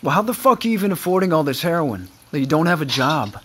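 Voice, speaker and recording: An actor playing an exasperated but protective friend in an audio drama, blunt, profane and insistent. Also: male voice, a little high, big pitch swings, fast, a bit bright, a little hiss or hum. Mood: needling, incredulous. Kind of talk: naturalistic audio drama acting.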